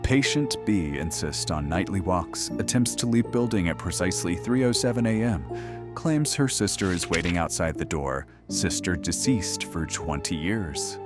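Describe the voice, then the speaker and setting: An actor playing a wry late-night radio host, sardonic, teasing and folksy in a call-in radio drama. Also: male voice, deep voice, animated, normal speed, over music. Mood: probing. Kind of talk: radio call-in show acting.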